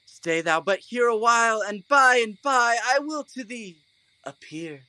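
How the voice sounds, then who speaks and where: An actor playing a pompous, oblivious and stubborn weaver who fancies himself an actor, in a comic Shakespearean audio play. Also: male voice, very high-pitched, animated, measured pace, sharp tone, no echo, full character voice, low-quality recording. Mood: hammy. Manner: hammy, declamatory. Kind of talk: theatrical comic Shakespearean audio drama acting.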